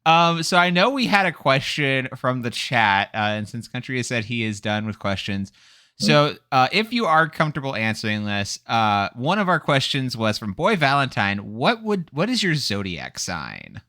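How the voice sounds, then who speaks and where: Guy hosting a chill interview, upbeat and joking, bright voice, dry close sound. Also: medium pitch, big pitch swings, normal speed, dry recording. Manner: upbeat, playful, laughs often. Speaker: host and interviewer. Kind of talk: casual chat.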